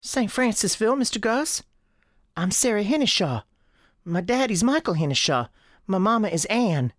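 A woman plays a lost little girl from a radio drama, with a Southern US accent. She is earnest, anxious and polite, her pitch swinging freely in a dry, close recording. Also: very animated, moderate pace, no echo, lightly acted.

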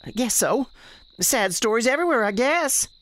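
A performer voicing an angry, defiant young girl with a Southern US accent and sharp, folksy speech, in an audio drama. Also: mid-range voice, lively intonation, normal speed, pitched above the performer's own voice, close to an everyday voice. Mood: defensive, bitter.

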